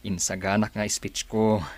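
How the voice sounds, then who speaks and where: A man's voice: low voice, heavily acted. A man playing an earnest, nervous boss in an audio drama, speaking hesitantly. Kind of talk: Filipino audio drama acting.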